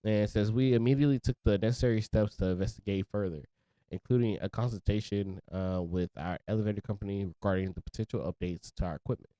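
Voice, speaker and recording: A man's voice: fairly low pitch, fast talker, mellow, dry recording, poor audio. Teasing young man co-hosting a podcast. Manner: joking, teasing, laughs often. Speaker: podcast co-host. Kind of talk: casual banter and news discussion.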